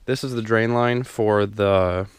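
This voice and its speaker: A man's voice: lowish voice, steady tone, slow pace, clean tone, dry recording. A young man casually narrating a walkthrough of his unfinished basement renovation, rambling with many ums and restarts, recorded on a handheld camera. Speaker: DIY home renovation vlogger. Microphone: handheld camera mic, filming as he walks.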